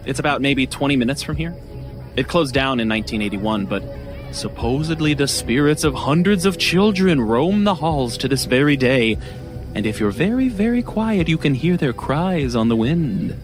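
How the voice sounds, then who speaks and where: A man's voice: mid-range voice, animated, normal speed, music in the background, close to an everyday voice. An actor playing a shy young man at a Halloween party who stumbles and hesitates when flustered. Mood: storytelling. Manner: hesitant, flustered, filler-heavy.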